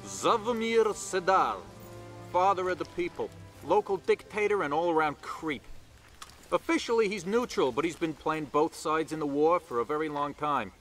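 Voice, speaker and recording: A man's voice: a little high, lively intonation, normal speed, a bit bright. A man playing a nervous, talkative, know-it-all young soldier in a video game. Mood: dry, informed. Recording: music and engine noise behind.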